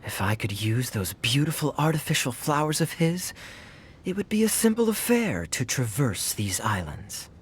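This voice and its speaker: A man's voice: mid-range voice, big pitch swings, moderate pace, a little hiss or hum, lightly acted. A man voicing a suave, sly young knight captain in game cutscenes, with a wry, teasing way of talking. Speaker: game character. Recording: game audio with faint music.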